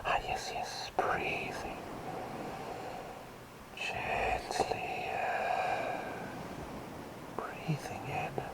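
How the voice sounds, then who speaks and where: A man playing a gentle, curious masked plague doctor in a whispered ASMR roleplay, breathy, playful and polite. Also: very slow, long pauses, low-quality recording. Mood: soothing. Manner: soothing, playful, polite murmuring.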